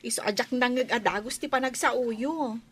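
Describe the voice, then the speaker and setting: A woman's voice: a little high, normal speed, fairly bright tone, slightly rough. A woman with an Ilocano accent playing a polite young village store girl in an audio drama. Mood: polite, unhurried. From Philippines.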